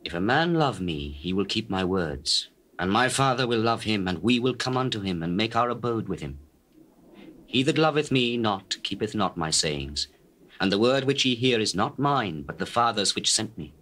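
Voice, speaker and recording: An actor playing a solemn, gentle, authoritative religious teacher in a measured, declamatory scripture drama. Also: male voice, on the low side, animated. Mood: solemn, assured. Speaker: actor in dramatized Bible recording. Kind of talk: dramatized scripture reading in archaic English.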